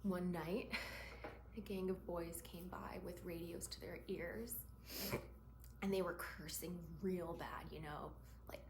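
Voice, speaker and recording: A woman playing a shaken young woman haunted by cruelty she witnessed, in a halting, emotional dramatic stage monologue. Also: lowish voice, fairly even intonation. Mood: uneasy, remembering. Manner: halting, hushed and breathy, swelling into anguished cries. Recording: roomy echo, faint background noise.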